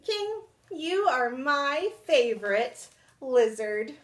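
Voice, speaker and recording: A woman's voice: sits high, sing-song, very slow, pitched above the performer's own voice, full character voice. A woman voicing a cheerful, affectionate little girl in a children's storybook, sweet and playful with her pets.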